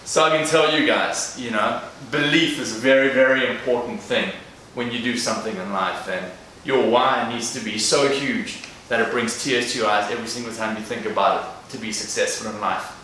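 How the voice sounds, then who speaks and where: A man's voice: mid-range voice, normal speed, few pauses, sharp tone. Earnest South African man in an echoey hall. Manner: earnest, direct, addresses the crowd. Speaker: guest speaker. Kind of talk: motivational talk to a live audience. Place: large echoey event room. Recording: echoey room, distant mic, faint noise.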